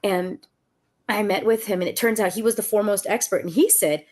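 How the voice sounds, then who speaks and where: A woman's voice: medium pitch, fairly quick. A woman author talking about her memoir, a little congested from a cold, telling stories with frequent laughs. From Southern California. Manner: laughs often, warm and storytelling. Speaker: author guest. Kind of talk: conversational book talk.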